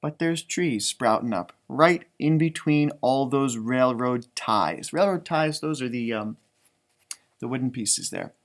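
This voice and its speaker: Man chatting enthusiastically through a children's storytime. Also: medium pitch. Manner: enthusiastic, chatty, drawn-out emphasis, talking directly to young listeners. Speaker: elementary school teacher reading aloud. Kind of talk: casual storytime read-aloud talk for children.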